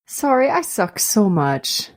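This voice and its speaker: A woman's voice: mid-range voice, sing-song, slow. An actress playing a nervous, self-mocking young woman who feels queasy, in a radio play. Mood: anxious. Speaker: actor.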